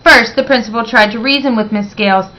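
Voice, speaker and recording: A woman reading a picture book aloud in an expressive, deliberate way, stressing words for young listeners. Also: fairly high pitch, rough recording. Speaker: children's story reader.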